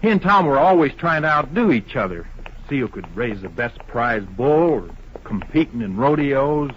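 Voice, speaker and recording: An actor playing an excitable, loyal cowboy sidekick in a radio western, talking in folksy, exclamatory country phrases. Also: male voice, mid-range voice, moderate pace, close to an everyday voice. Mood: earnest. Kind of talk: 1950s radio western acting. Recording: dull, noisy old radio recording.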